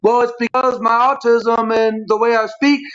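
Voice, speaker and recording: A man speaking as a talk show guest with a stutter, explaining himself in a halting, repetitive, long-winded way. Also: very high voice, flat delivery, fairly bright tone. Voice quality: stuttering speech impairment. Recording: dull, lo-fi stream audio.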